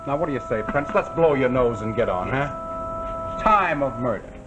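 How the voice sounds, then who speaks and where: An actor in a 1940s television drama playing a brash, impatient American who talks in breezy, wheedling slang. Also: male voice, mid-range voice, expressive, moderate pace, natural voice. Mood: coaxing. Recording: muffled 1949 soundtrack with music behind.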